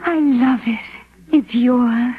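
A woman's voice: on the high side, fairly even intonation, slow, breathy edge, heavily acted. An actress playing a devoted, earnest young woman in love, tender and theatrical in 1940s radio style. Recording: muffled, narrow vintage 1946 radio recording.

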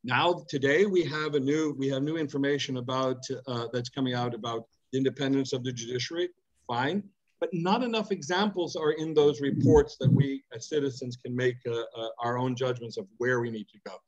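A middle-aged man speaking from a home office over an earphone mic, asking a long, deliberate question with emphatic repetitions. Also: medium pitch, fairly even intonation, muffled recording. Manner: deliberate, emphatic, with many repeated words. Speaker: audience member asking a question. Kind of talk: earnest spoken question on a video call.

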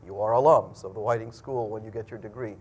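A man's voice: on the low side, fairly even intonation, fast talker, a little mellow, husky. A middle-aged man speaking as a school dean, giving an explanatory, conversational presentation to students. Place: indoor lecture room at a podium.

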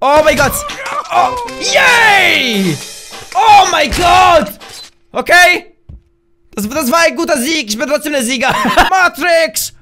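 A hoarse young man in his twenties, loudly and playfully commentating a game from a small room at home, talking into a desk mic while wearing a headset. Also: very high voice, sing-song, slow pace, soft background music. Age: young adult. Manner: loud, shouty, laughing, playful exclamations. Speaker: gaming YouTuber. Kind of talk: excited gameplay commentary.

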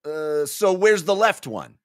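Man acting out excitable, high-pitched nerdy male gamer. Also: slow pace, dry recording, studio quality. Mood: eager, bumbling. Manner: exclaiming, mock-heroic, blurting. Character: excitable nerdy male tabletop gamers, shouting dice players. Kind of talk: comic dramatic reading.

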